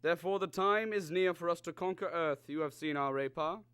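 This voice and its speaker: A man playing a calm, superior alien visitor in a radio drama, speaking formally and with measured condescension. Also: fairly high pitch, smooth, dry recording, lightly acted. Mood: superior, grave. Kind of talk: old-time radio drama acting.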